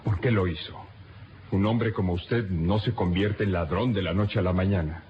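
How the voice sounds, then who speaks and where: A man's voice: low-pitched, fairly even intonation, natural voice. An actor playing a dutiful, incredulous police captain in a radio serial, formal and insistent as he questions a prisoner. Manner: insistent, formal, halting with disbelief. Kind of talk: old radio serial acting. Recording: dull, noisy old radio recording.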